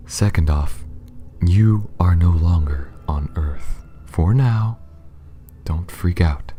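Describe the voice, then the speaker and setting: A man playing a gentle, apologetic alien pilot in an ASMR audio roleplay, speaking softly, often near a whisper, in a careful, halting, formal and reassuring way. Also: a little hiss or hum, heavily acted. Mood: anxious, soothing. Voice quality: soft, very low, breathy, often near whisper. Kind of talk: ASMR audio roleplay, scripted monologue addressed to listener.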